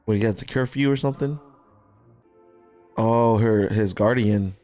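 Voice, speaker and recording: A man's voice: fairly low pitch, a little slow, warm tone. A young adult man giving casual, amused movie reaction commentary with plenty of slang and swearing, close on a microphone. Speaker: reaction show co-host. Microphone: close podcast microphone, headphones. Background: music and movie soundtrack.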